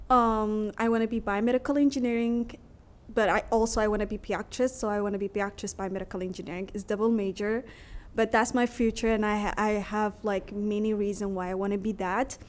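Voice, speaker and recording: A young woman, a graduating student from Turkey whose first language is Somali, talking in halting, earnest English at a school board meeting. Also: mid-range voice, steady tone. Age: young adult. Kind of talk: speaking at a public meeting. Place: meeting room.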